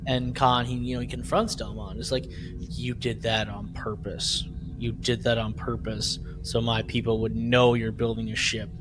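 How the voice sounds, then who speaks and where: A man co-hosting a podcast in casual chat, retelling things in an animated way and often checking for agreement. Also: medium pitch, normal speed, background noise. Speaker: podcast co-host.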